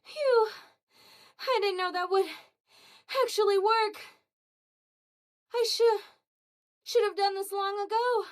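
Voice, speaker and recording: A woman's voice: very high-pitched, steady tone, slow pace, pauses often. An actress voicing a young female android soldier in an English anime game dub, stubborn and earnest, speaking in blunt, terse phrases. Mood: strained, pained. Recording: music behind the voice.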